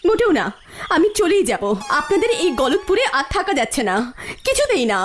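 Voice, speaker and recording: A fussy lady singer from a comic cartoon. Her voice is extremely high and very animated, full of theatrical complaint, with faint music behind. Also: a little fast, full character voice. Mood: huffy. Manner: plaintive, theatrical.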